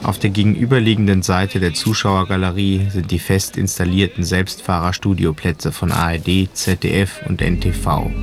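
A man reading scripted documentary narration in a terse, matter-of-fact way. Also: deep voice. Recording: music behind the voice.

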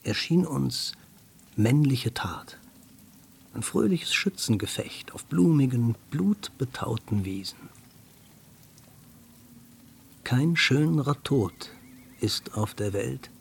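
A man reciting a literary radio-play monologue in a measured way, husky and gravelly, at times nearly whispering. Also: medium pitch, animated, exaggerated voice. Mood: solemn, yearning.

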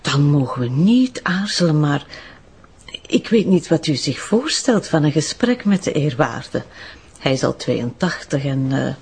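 An actress playing a strict, dutiful nun, speaking formally and in a measured way in an audio drama. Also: female voice, low-pitched, lively intonation, a little mellow, breathy edge, heavily acted. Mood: reserved. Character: strict, dutiful nun guarding an elderly priest.

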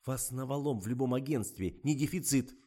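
A practical studio crew woman, voiced by a male narrator in a comic story. The pitch sits raised as the voice coaxes and dryly threatens with a sharp tongue. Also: brisk, lightly acted. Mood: coaxing, stern.

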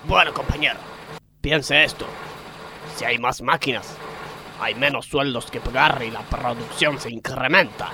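A man's voice: medium pitch, unhurried, background noise, lightly acted. An Argentine man playing a grandiose, slightly dim ringleader of factory workers in a comedic radio play, persuasive and conspiratorial.

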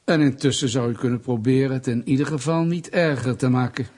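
An actor playing a patronizing, sardonic Soviet official in a radio drama, mock-polite and bullying. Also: male voice, mid-range voice, brisk, a little mellow, lightly acted. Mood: smug, veiled threat. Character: menacing, condescending male Soviet state official, bureaucratic bully.

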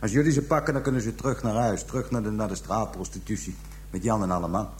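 An actor playing a callous pimp making a halting, coarse confession to police in a radio drama. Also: male voice, on the low side, fairly even intonation, a little mellow, slight background noise, natural voice. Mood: callous, scornful. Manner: halting, hesitant storytelling, coarse slang. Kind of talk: radio drama acting, interrogation scene.

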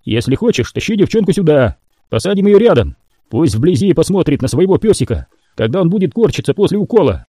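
A man's voice: on the high side, very animated, brisk, warm tone, dry recording, studio quality, pitched above the performer's own voice. A man voicing a curt, bossy young mutant.

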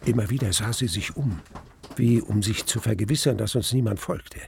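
Brooding, slightly gravelly male narrator. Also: on the low side, darkish tone, a little hiss or hum. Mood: tense, hushed. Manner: measured, suspenseful storytelling. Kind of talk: first-person radio play narration.

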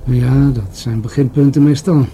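An actor playing a probing, dryly skeptical police detective in a radio play, questioning in a measured way. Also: male voice, mid-range voice, fairly even intonation, mellow, slight background noise, natural voice. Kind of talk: Dutch radio play acting.

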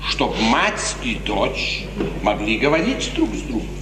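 An actor playing a scheming, smooth courtier who speaks formally and theatrically with false concern, in 1950s radio drama style. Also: male voice, medium pitch, huge pitch swings, a little slow. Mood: coolly menacing. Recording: noisy 1950s radio recording, echoing hall.

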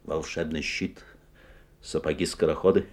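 A man's voice: rumbling low, level tone, normal speed. An actor playing a sly magic-shop salesman with courteous, insinuating, theatrical patter in an audio fairy tale. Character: smooth, sly magic-shop salesman, mocking and eerily obliging. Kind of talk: Soviet-era audio fairy-tale acting.

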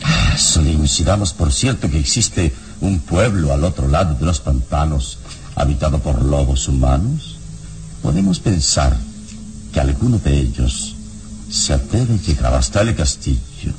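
Radio-drama hero and mentor: bass-deep, velvety dark, measured, serene and reassuring. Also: male voice, sing-song, a little hiss or hum, full character voice. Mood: grave, thoughtful. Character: calm, solemn, wise male adventurer hero, protective mentor. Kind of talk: dramatic radio serial acting.

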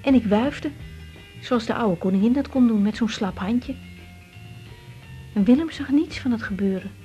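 A woman's voice: a little high, moderate pace, dark tone, muffled recording, faint music behind, natural voice. An actress playing a naive, confiding young woman doctor with emotional delivery in a 1980s radio drama, with a Netherlands Dutch accent. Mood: reflective, troubled.

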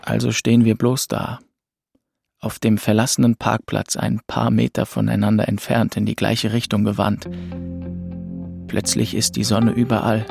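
A young adult narrator in a radio drama, wistful and introspective. His voice sits low with a gravelly creak, and music plays clearly behind him. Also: little pitch movement, moderate pace, darkish tone. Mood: wistful, brooding. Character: melancholy young French man, orphaned, narrating his road trip. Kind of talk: first-person radio play narration and dialogue.

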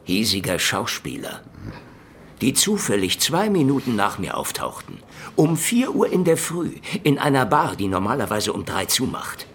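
A hard-boiled private eye in a radio play, sardonic and world-weary. His voice sits low with a gravelly creak, swinging freely as he taunts, over music. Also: big pitch swings, moderate pace. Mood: taunting, sardonic. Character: cynical, hard-boiled male private detective, tough and sardonic. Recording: music behind the voice.

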